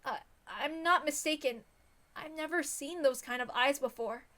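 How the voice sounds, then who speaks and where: A woman's voice: high-pitched, moderate pace, voice pushed up. A woman voicing a timid, worried teenage girl in a visual novel, speaking hesitantly and anxiously. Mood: nervous. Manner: hesitant, soft-hearted, anxious.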